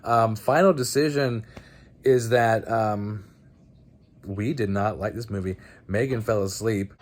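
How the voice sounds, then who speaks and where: Casual man giving a halting movie review. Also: fairly low pitch, slight background noise. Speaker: host of a movie night video channel.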